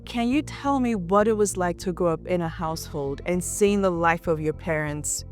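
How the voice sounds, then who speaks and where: A woman's voice: fairly low pitch, moderate pace, soft background music. A woman hosting an interview, giving introductions and asking questions. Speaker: interview host.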